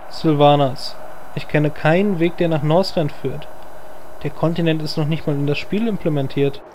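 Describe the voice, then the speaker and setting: A man's voice: on the high side, steady tone, normal speed, darkish tone, a little hiss or hum, close to an everyday voice. A man playing a snarky, bossy fantasy game hero in a comedic audio drama, wry and given to complaining. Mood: sceptical.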